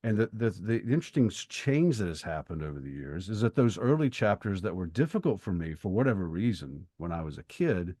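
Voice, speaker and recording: A man in his fifties co-hosting a podcast, talking reflectively and thoughtfully with pauses between phrases. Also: low voice, very animated, warm tone, no room sound, studio quality. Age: 50s. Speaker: podcast co-host. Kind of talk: reflective conversational podcast talk.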